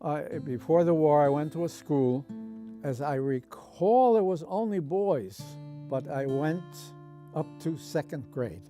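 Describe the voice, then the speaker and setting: A man in his nineties from Austria, telling his life story at an unhurried pace with pauses, recorded as an oral history. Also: fairly high pitch, animated, warm tone, faint music behind. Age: 90s. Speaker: Holocaust survivor giving testimony.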